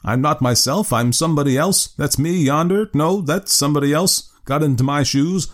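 A man's voice: high voice, wildly swooping pitch, unhurried, creaky, voice pushed up, full character voice. A man voicing a bewildered, poor old village man who pleads in a raised voice. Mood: desperate.

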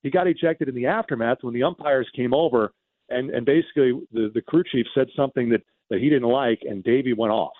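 A man's voice: mid-range voice, fast talker, dry recording, narrow, tinny audio. A man talking off the cuff as a sports radio commentator, recounting games in emphatic detail and often repeating a phrase for stress. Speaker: radio baseball commentator and guest.

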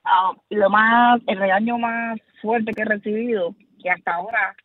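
A woman in her fifties from Cuba, calling a radio show over a tinny phone line. She tells her story with animated, lively delivery, and her voice sits mid-range. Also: mid-range voice, moderate pace, dry recording. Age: 50s. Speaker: caller.

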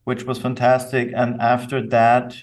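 Young austrian guy, grew up with english and german, talks it through slow and careful, sounds a bit far from the mic, medium pitch. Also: monotone. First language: German and English, bilingual. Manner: thoughtful, measured, with fillers and restarts. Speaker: company co-founder, guest. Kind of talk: casual interview answers.

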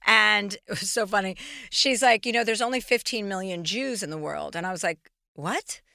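A bright, forceful woman's voice hosting a casual chat, brash and joking as she tells stories. The sound is close and dry, with no room behind it. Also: medium pitch, gravelly, dry recording. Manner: brash, joking, quick storytelling. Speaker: podcast host.

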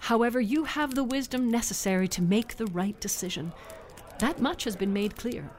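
A man voicing a shrewd, pleading widowed village woman in a storybook retelling, with a soft, breathy voice. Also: very high voice, normal speed, pitched above the performer's own voice. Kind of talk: storybook narration.

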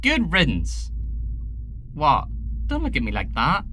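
A man's voice: a little high, huge pitch swings, takes it slowly, sharp tone, full character voice. An actor playing a brash, grumbling freelance security man in a sci-fi audio drama, sardonic and emphatic. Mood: indignant.

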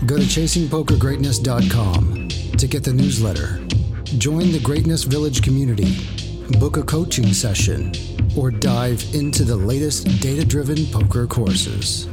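A man reading a scripted podcast outro in a smooth promotional delivery. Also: medium pitch. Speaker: podcast announcer. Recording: voice over loud guitar music.

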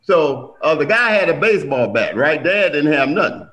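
A middle-aged man telling family stories in a casual conversation, animated and acting out quotes. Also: moderate pace. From Milwaukee. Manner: animated storyteller, acts out quotes with big pitch jumps.

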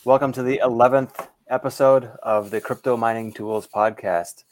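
Halting male podcast host. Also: medium pitch, steady tone. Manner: halting, hesitant. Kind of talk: casual podcast hosting.

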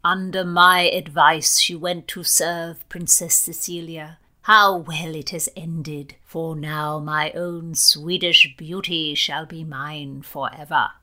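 A woman's voice: low-pitched, fairly even intonation, takes it slowly, hardly any pauses, sharp tone, full character voice. A woman plays an older English lord in a historical audiobook. He is besotted and pleased with himself, drawing his words out pompously. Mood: smug fondness. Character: besotted, self-satisfied older English nobleman.

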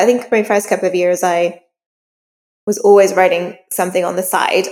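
A woman's voice: on the low side, darkish tone. A woman who is an author, speaking candidly and informally as an interview guest, reflecting as she talks. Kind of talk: casual interview conversation. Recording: long reverberant room sound.

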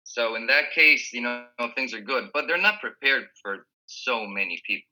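A man whose first language is Spanish, a guest chatting casually over a call from home, relaxed and restarting his words. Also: medium pitch, normal speed, faint music behind, poor audio. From Rio de la Plata region. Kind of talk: casual remote interview chat. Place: home in Spain.

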